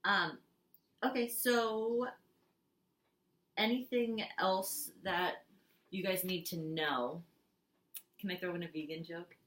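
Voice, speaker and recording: A young woman from Switzerland speaks English, her voice bright and fairly low for a woman. She jokes, giggles and fills her pauses with "um", recorded in an echoey small room at home. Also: steady tone. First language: Swiss German. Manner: giggly, jokey, fills gaps with "um". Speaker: contestant. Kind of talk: casual audition tape.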